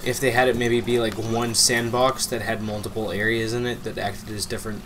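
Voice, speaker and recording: A man's voice: on the low side, level tone, brisk, a bit bright, a little hiss or hum. A slightly nasal young man chatting casually as a co-host beside someone playing a game, with lots of fillers and restarts. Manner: talkative, repeats words, filler-heavy. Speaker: let's play co-host. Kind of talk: casual gameplay chat.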